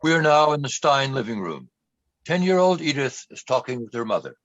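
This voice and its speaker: A male narrator sets the scenes of a radio play. His intonation is lively, and the recording is dry and slightly muffled. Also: medium pitch, fairly quick, dry recording. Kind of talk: scripted scene-setting narration.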